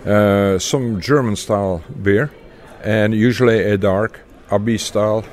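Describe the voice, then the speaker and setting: An older man from Belgium with a Belgian accent, speaking haltingly with many fillers as an interview guest. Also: fairly low pitch, steady tone, a little mellow. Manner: halting, many uhs, chuckles. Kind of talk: casual interview chat.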